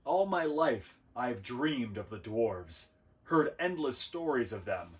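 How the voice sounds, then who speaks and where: A man's voice: medium pitch, big pitch swings, slow pace. A man voicing a big, puppyish would-be warrior hero in a storybook, speaking with grandiose earnestness. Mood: proud, dreamy.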